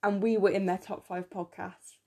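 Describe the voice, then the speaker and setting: A young woman co-hosting a podcast in casual chat, enthusiastic and full of fillers, her voice slightly croaky, recorded on a phone in her room. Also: medium pitch, level tone, normal speed, dark tone. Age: young adult. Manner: chatty, enthusiastic, many fillers. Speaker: podcast co-host.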